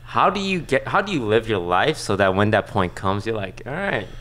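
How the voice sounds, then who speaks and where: A man of thirty chats on a podcast in a studio at a desk mic. His voice sits a little low but rises and falls freely as he muses informally, swearing now and then. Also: very animated, a little fast, a bit bright. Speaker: podcast host. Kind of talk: casual chat. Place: small indoor podcast studio. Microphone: close desk microphone.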